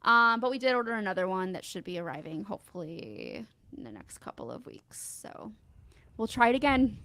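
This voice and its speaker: A woman guest in casual chat, telling animated stories and acting out what people said. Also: mid-range voice, a little fast, no room sound. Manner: animated storyteller, acts out quotes. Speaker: podcast guest.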